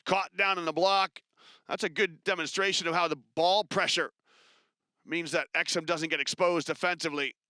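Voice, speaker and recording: Bright, crisp male basketball commentator. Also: a little high, no echo, studio quality. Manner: brisk, chatty, rises with excitement on big plays. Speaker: play-by-play commentator.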